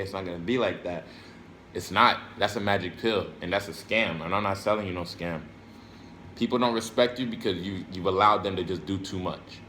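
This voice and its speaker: A man's voice: on the low side, a bit bright, slight background noise. A man giving a rambling, blunt motivational talk, casual and sweary, repeating phrases for emphasis. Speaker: self-help vlogger.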